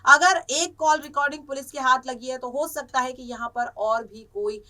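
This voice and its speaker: A woman delivers news commentary in a high, sharp and forceful voice. Her phrasing is emphatic and repetitive, like a presenter working through a report. She sounds a little away from the microphone. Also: fairly even intonation, normal speed, far from the mic.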